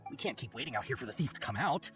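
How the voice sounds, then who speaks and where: Guy doing flustered shopkeeper npc, hesitant, very animated pitch, bit of music behind. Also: rapid-fire, lightly acted. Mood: apologetic. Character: flustered, apologetic male caravan merchant. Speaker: voice actor in game dialogue. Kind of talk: scripted video game voice acting. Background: game background music.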